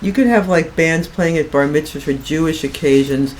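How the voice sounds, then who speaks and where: An older woman with an American accent chatting casually in an animated, opinionated way. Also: low voice, moderate pace. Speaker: podcast guest. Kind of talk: casual chat. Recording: noisy room recording.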